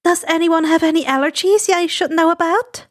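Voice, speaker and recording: A woman's voice: very high-pitched, moderate pace, pitched above the performer's own voice. A woman voicing a caring, bossy middle-aged mother in a story reading. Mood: caring, motherly.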